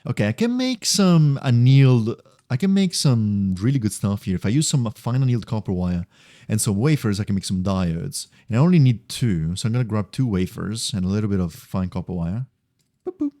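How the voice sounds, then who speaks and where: A man's voice: lowish voice, animated, fairly quick, dark tone, no room sound, clean studio sound. A man chatting casually on a game livestream, thinking aloud in a rambling, repetitive way. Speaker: game streamer. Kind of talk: casual livestream chat while gaming.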